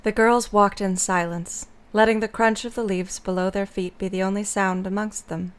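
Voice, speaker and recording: A woman's voice: medium pitch, fairly even intonation, normal speed. A woman narrating a scripted story as the narrator of an audio drama.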